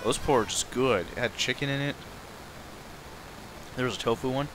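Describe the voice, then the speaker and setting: A man's voice: medium pitch, moderate pace, noisy background. A man chatting casually as he streams and plays a video game, with game music behind him. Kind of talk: casual chat while playing a video game.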